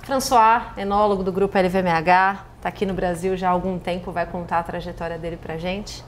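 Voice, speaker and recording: A woman's voice: lowish voice, steady tone, fairly quick. Woman, Brazilian accent, podcast host, playful, informal, chatty, faint noise and ambience behind. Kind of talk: casual podcast chat.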